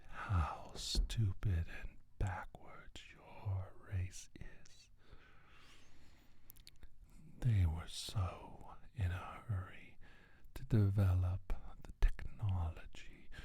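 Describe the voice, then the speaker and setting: A man playing a menacing, scornful alien scientist in a soft-spoken ASMR roleplay, speaking slowly and deliberately in a breathy, raspy whisper. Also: low-pitched, heavily acted. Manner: slow, deliberate, condescending.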